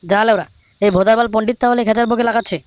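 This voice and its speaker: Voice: mid-range voice, steady tone, very fast, heavy background noise, close to an everyday voice. A performer voicing a foul-mouthed, irritable young male cartoon buddy in a comic dub. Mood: scheming, annoyed. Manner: crude, swearing, brash.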